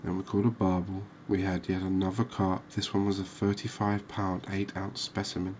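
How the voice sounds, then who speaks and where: A man giving relaxed, unhurried, musing ASMR-style gameplay commentary, chatting to his viewers. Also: low-pitched, slight background noise. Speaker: host of a cozy fishing game channel.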